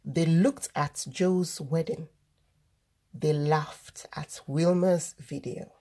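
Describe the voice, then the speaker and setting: A woman reading a children's storybook aloud, deliberately, word by word, as the host of a read-aloud. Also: low voice, steady tone, mellow. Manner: deliberate, word-by-word delivery for early readers.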